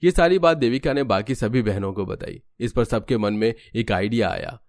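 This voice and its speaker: A man's voice: mid-range voice, moderate pace, darkish tone, no echo. A man giving scripted storytelling narration for a cartoon.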